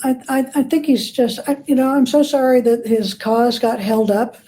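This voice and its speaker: A woman with a warm, mellow and slightly high voice speaks as an interview guest. She explains in a conversational, measured way, pausing as she puts her thoughts together. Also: slight background noise. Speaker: interview guest, author and scholar.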